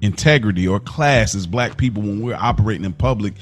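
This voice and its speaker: A man hosting a casual podcast discussion, posing questions deliberately and rephrasing them as he goes. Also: lowish voice. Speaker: podcast host and moderator.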